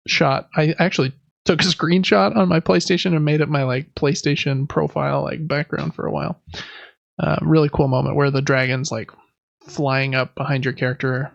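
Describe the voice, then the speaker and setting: A man's voice: a little high, creaky, highs cut off. A man chatting casually as a podcast host. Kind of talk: casual chat about video games.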